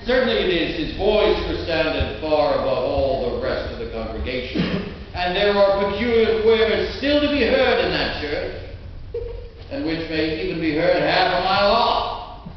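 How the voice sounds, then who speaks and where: A man giving a theatrical live reading of a literary tale as a storyteller, expressive, with dramatic pauses and drawn-out phrases. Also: high voice. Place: inside an old stone church. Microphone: distant mic in a large room. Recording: very echoey church, distant mic, dull, faint noise.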